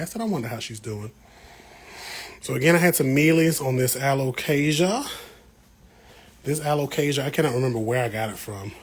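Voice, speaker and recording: An American man chatting casually on a livestream as he works, talking to viewers in a folksy, slangy way. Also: medium pitch. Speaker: plant vlogger hosting a livestream. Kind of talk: casual livestream chat while working. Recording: clear background noise with room ambience.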